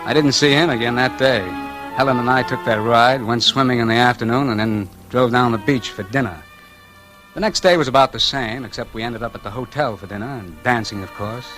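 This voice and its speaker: Casting: male actor as weary man on the run, 1940s radio noir lead and narrator, wry, terse, mid-pitched, music underneath. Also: fairly quick, natural voice, poor audio. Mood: brooding narration. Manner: wry, terse, hard-boiled. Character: weary, doomed man on the run, narrating his own story. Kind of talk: 1940s radio noir acting, first-person narration and dialogue.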